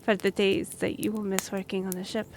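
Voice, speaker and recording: A woman's voice: medium pitch, little pitch movement, brisk, a little hiss or hum, natural voice. A woman playing an earnest young half-elf noblewoman and royal envoy in a fantasy roleplay audio drama, speaking formally with some awkward hesitation. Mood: measured, explanatory. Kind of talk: fantasy roleplay audio acting, addressing the listener.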